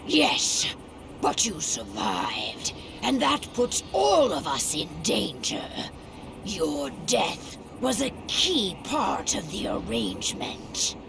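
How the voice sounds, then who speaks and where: A woman playing a proud, solemn werewolf tribe leader in a video game cutscene, speaking gravely with breathy, half-whispered phrases. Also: mid-range voice, wildly swooping pitch, slow pace, exaggerated voice. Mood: grim, defiant.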